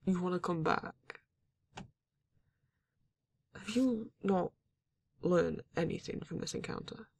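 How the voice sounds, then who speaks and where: A performer voicing a grumpy, reluctant werewolf in an ASMR roleplay, exasperated and halting, with a husky, gravelly voice. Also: medium pitch. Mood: stern, wary. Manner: exasperated, sighing, swearing, halting delivery. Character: grumpy, reluctant werewolf, guarded but not cruel. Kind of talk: ASMR roleplay audio drama, intimate monologue.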